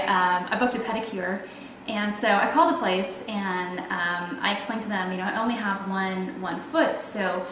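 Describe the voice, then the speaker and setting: A woman's voice: mid-range voice, a bit bright, roomy sound, a little hiss or hum, low-quality recording. A young woman with a Canadian accent, chatting casually in a video blog and explaining things with plenty of fillers. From Toronto, Canada. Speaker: athlete video blogger.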